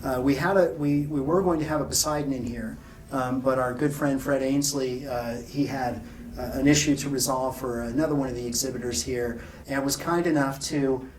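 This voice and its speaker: A man being interviewed at a trade show, explaining technical details in a measured, informal way. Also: mid-range voice, steady tone, distant mic. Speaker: loudspeaker company founder being interviewed. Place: exhibit room at an audio show. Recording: off-mic, noisy exhibit room.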